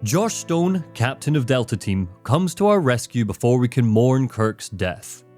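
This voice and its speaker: A man's voice: medium pitch, animated, a little slow, a little mellow. A man delivering scripted narration for a critical video essay, with dramatic, emphatic phrasing. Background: background music, guitar.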